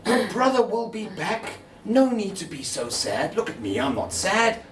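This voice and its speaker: A man's voice: sits high, sing-song, a little slow, fairly bright tone, voice pushed up, exaggerated voice. A man doing a pompous blustering father. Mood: soothing. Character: hungry self-important father, grown man. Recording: echoey room, distant mic, background noise.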